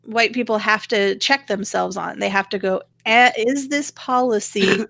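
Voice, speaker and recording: A woman's voice: mid-range voice, unhurried, creaky, fairly bright tone, dry recording. A woman hosting a casual political chat, explaining her opinions as she goes. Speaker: show host.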